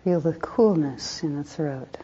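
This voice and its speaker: A woman meditation teacher leading a guided meditation in a soft, calm voice, unhurried, with pauses between short phrases. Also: low-pitched, slightly rough. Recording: dull, muffled lo-fi recording.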